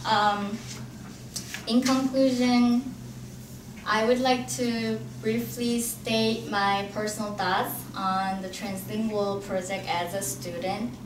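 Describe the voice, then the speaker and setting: Young korean-accented woman presenting a paper, takes it slow, recorded from far off in a noisy room. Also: medium pitch, steady tone. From South Korea. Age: young adult. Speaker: graduate student presenter. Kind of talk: academic presentation. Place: indoor room at an academic forum. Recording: echoey, noisy room, distant mic.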